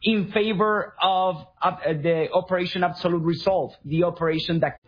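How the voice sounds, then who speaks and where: A man's voice: high-pitched, steady tone, moderate pace, slightly rough, soft background music. A man from Venezuela, speaking earnestly as a guest on a radio talk show. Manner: earnest, patriotic, with frequent uh fillers. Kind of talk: radio interview. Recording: dull lo-fi radio sound.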